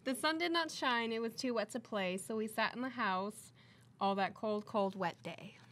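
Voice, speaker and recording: A woman's voice: a little high, moderate pace, a bit bright, a little hiss or hum. A woman co-reading a children's picture book aloud for a story time.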